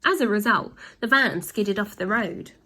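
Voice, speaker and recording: A woman reading a children's storybook aloud, with lively voices and sound effects. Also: medium pitch, normal speed. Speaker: storybook reader.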